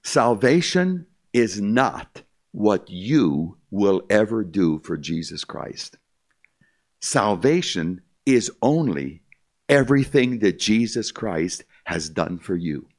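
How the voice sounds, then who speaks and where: Older guy, really deep gravelly voice, preaching his testimony, emphatic and repetitive. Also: sing-song, takes it slowly, a little mellow. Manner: emphatic, repetitive, addresses listeners as "my friend". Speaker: concert pianist giving testimony. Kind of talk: evangelistic testimony speech to a live audience.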